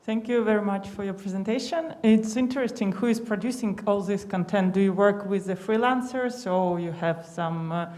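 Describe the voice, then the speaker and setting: A woman's voice: mid-range voice, normal speed, hardly any pauses, a little mellow. A woman from the audience politely asking the speaker questions with slightly non-native phrasing.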